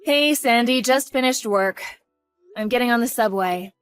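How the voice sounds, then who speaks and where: A woman's voice: on the high side, moderate pace, a bit bright, clear, resonant tone, dry recording, clean studio sound, faint music behind, natural voice. A woman playing a protective, worried mother. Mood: tender. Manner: firm, worried, pleading. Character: protective, anxious single mother. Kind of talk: dramatized story acting.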